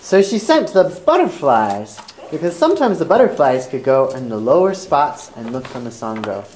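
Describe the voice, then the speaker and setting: A woman's voice: rumbling low, sing-song, moderate pace, dark tone, slight background noise. A woman narrating an improvised fairy tale for a children's puppet show, in simple, repetitive phrases.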